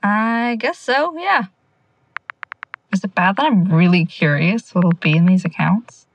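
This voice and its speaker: A woman's voice: medium pitch, a little slow, muffled recording, slight background noise, lightly acted. A young woman playing an anxious, sardonic amateur sleuth in a naturalistic audio drama, talking in a casual, rambling way. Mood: hesitant.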